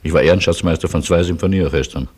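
A man's voice: sits low, fast talker, a little mellow, husky. An actor playing an anxious, wordy, sardonic businessman on the run in a German radio play, speaking with ironic rhetorical flourishes. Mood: sardonic, lamenting. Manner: exasperated irony, rhetorical flourishes.

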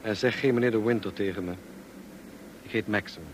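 An actor playing a reserved, brooding, worldly gentleman in a radio drama, speaking in a measured, clipped, dry way. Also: on the low side, close to an everyday voice. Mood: curt, tender. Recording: clear background noise, car ambience. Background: car ambience.

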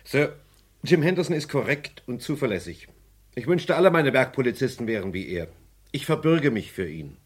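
Loyal security chief, radio play, animated intonation, medium pitch, clipped, respectful, blunt. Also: male voice, normal speed, breathy edge. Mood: earnest. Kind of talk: old German radio crime play.

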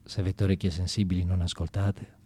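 A man doing a comic theatrical monologue in a conversational, halting and mock-serious way, often repeating himself. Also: low-pitched, flat delivery, mellow, slightly rough. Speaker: satirical stage monologist on radio.

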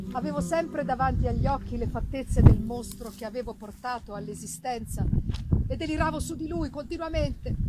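A woman giving a theatrical, deliberate and expressive first-person reading of a literary story. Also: sits high. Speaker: reader. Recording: noisy live festival recording.

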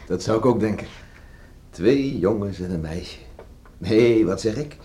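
Low-voiced, headstrong country husband. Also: male voice, lively intonation, unhurried, mellow. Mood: fond, pleased. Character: headstrong, gruff beachcomber farmer, husband and father. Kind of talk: radio play acting.

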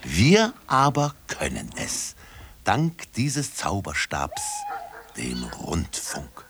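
Very deep, solemn radio announcer. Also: male voice, wildly swooping pitch, very slow, creaky, heavy background noise, full character voice. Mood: hushed, suspenseful. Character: pompous male radio announcer narrating a story solemnly. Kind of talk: theatrical radio drama narration.

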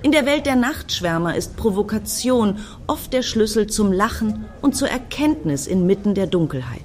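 A woman's voice: mid-range voice, sing-song, moderate pace, darkish tone, faint music behind, natural voice. An actress playing an impatient, self-assured, defiant woman in a satirical radio play, brusque and assertive. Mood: declaiming, brusque.

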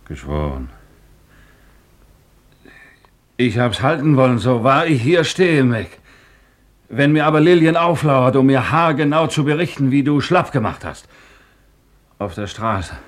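An actor playing a wistful, down-at-heel joiner who drinks, halting and pleading, in a 1950s German radio play. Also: male voice, mid-range voice, close to an everyday voice. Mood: defensive, subdued. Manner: halting, pleading, swinging into boastful hope.

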